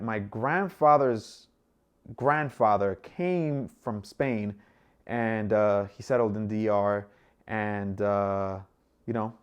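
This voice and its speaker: A young man from the Dominican Republic talking to camera in a relaxed, chatty vlog, with plenty of fillers. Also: fairly low pitch, muffled recording. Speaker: travel vlogger. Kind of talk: casual vlog monologue.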